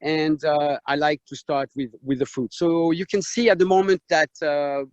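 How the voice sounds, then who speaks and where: A man with a French accent speaks from outdoors in a vineyard, halting and full of fillers as he guides a tour. His voice sits a little high, and it comes through a dull, lo-fi video call. The sound is dry and close. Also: fairly even intonation, no room sound. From France. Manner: halting, many fillers and restarts. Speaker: winemaker. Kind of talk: live video tour and tasting talk. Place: outdoors in a vineyard on a hot day.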